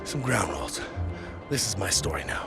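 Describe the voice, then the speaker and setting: An actor playing a jaded, cocky middle-aged photojournalist with a gruff, sarcastic manner in a video game cutscene. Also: male voice, sits low, very animated, moderate pace, over loud music, exaggerated voice. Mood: stern, instructing. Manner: sarcastic, gruff, swearing, bossy.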